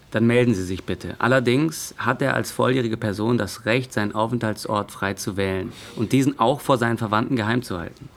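An actor playing a matter-of-fact police officer in a naturalistic radio drama, speaking in an official, procedural way. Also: male voice, mid-range voice, creaky, a bit bright, a little hiss or hum.

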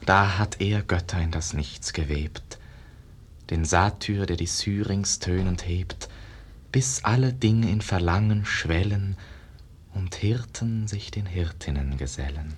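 An actor playing a brooding painter's pupil in a 1950s German radio verse drama, declaiming with solemn, poetic intensity. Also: male voice, sits low, takes it slowly, slightly rough, full character voice. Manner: solemn poetic declamation, swelling from hush to rapture. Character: brooding, world-weary male Renaissance painter's pupil.

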